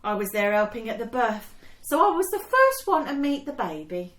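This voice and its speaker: An actress playing a chatty, excitable young woman of the home front in a wartime radio play. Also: fairly high pitch, normal speed. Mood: bright, gossipy. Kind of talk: period radio drama acting. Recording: echoey room.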